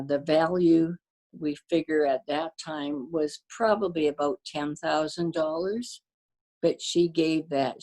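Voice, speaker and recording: An older woman, born to a Danish-speaking family, reminiscing about her community in a casual interview, pausing often with fillers and restarts. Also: low voice. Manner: warm storyteller, halting with fillers and restarts. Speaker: guest.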